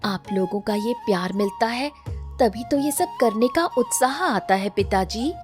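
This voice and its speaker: A woman playing a gentle, affectionate village family woman in a Hindi cartoon story, tender and appreciative. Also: a little high, animated, measured pace, music in the background.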